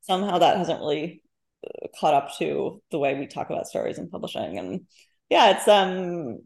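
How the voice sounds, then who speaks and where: Woman writer w low voice, casual interview chat, thoughtful, stops and rephrases a lot. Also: very animated, gravelly, darkish tone. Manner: thoughtful, halting, self-correcting. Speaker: guest author, short story writer.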